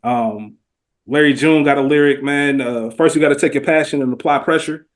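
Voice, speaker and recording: A man's voice: medium pitch, normal speed. A man speaking as a podcast guest, casual and heartfelt, with earnest, slangy phrasing that often leans on "man.".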